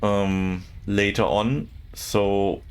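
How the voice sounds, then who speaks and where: A man, speaking English as a while streaming a game and chatting casually with viewers, often pausing to search for words. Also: on the low side, level tone, clean tone. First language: not English. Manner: halting, searching for words, many fillers.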